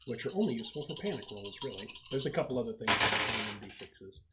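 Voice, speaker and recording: A man's voice: medium pitch, expressive, quick pace, very noisy. A man running a tabletop roleplaying game as game master, describing scenes casually and piecing them together aloud.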